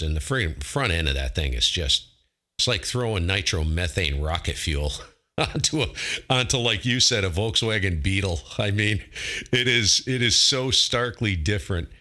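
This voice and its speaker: A man with a lowish voice and a sharp, forceful edge talks as an amateur radio operator. He chats casually and enthusiastically, with colorful, slangy turns of phrase. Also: expressive, normal speed. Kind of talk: casual ham radio conversation.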